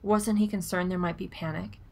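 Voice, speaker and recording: A woman's voice: fairly low pitch, steady tone, normal speed, close to an everyday voice. A woman playing a serious, probing interviewer in a dramatised documentary.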